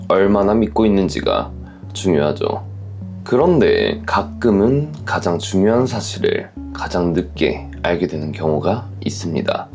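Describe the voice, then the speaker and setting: A man with a deep, creaky voice narrates listener stories and comments on them reflectively. His intonation moves widely as he puts measured questions to listeners. Soft music plays behind him. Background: background music.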